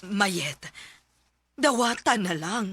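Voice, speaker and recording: A woman's voice: mid-range voice, expressive, takes it slowly, fairly bright tone, husky, dry recording, clean studio sound, exaggerated voice. An actress with a Cebuano Filipino accent playing a concerned middle-aged mother, earnestly pleading, in a radio drama. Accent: Filipino, Cebuano-speaking. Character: concerned mother pleading with her grieving adult daughter. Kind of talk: Philippine radio drama acting.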